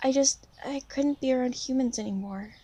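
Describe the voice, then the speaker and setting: A young woman playing an insecure, gentle newly turned vampire in an ASMR roleplay, speaking softly and haltingly, with shy apologies. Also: sits high. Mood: reassuring, apologetic. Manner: hesitant, halting, soft-spoken, apologetic. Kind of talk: ASMR roleplay, intimate one-sided conversation.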